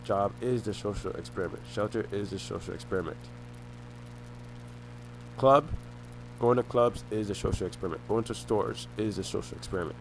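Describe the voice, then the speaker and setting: A young man rambles on in a halting way, circling back and restarting his sentences as he talks. His voice sits on the low side. A faint hum runs under the recording. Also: gravelly, low-quality recording. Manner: halting, repeats and restarts phrases. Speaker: vlogger. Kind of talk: rambling personal monologue.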